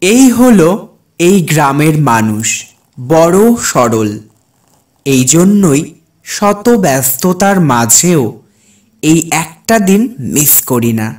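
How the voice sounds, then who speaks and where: A man's voice: a little high, big pitch swings, slow, pauses often, darkish tone. A man playing a kind, earnest village doctor who narrates a dramatic cartoon ghost story in the first person. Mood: reflective. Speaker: narrator and lead character.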